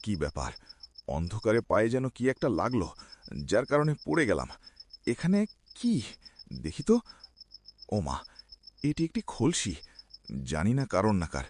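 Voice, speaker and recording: A man's voice: on the low side, darkish tone. A man playing a young cartoon villager talking to himself, with faint noise behind. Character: young village man muttering alone in the dark.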